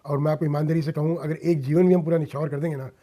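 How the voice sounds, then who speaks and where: A man's voice: fairly high pitch, fairly even intonation, quick pace, mellow. A middle-aged man from India speaking earnestly as a radio interview guest, dropping in English phrases. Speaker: interview guest, businessman and social worker. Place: radio studio.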